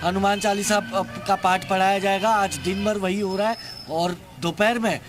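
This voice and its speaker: A man's voice: high-pitched, fairly even intonation, moderate pace, music in the background. A man reporting live for television news from a street outdoors. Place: outdoor street outside a temple.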